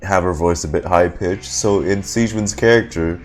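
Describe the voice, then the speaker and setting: A man's voice: low-pitched, normal speed, soft background music. A man streaming a video game, giving casual off-the-cuff reactions in short murmured interjections.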